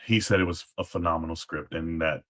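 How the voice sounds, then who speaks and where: A man's voice: sits low, fairly even intonation, brisk, a bit bright. A man speaking as a guest, casually explaining history in an informal way, feeling his way through what he remembers. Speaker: guest, former Alamo guard.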